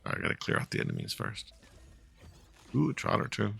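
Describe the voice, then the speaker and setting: A man's voice: on the low side, huge pitch swings, fairly quick, creaky, sharp tone, husky. A man giving casual, offhand gameplay commentary as he plays. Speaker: streamer doing dramatic readings.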